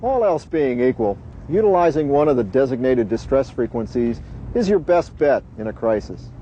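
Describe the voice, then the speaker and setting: A man giving deliberate, instructive scripted narration for a safety training video. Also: mid-range voice, big pitch swings, mellow, dull audio, a little hiss or hum.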